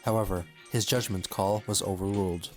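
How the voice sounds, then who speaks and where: A man narrating a documentary in an even, measured way. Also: on the low side, over music. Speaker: narrator of a documentary video being watched. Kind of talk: scripted narration.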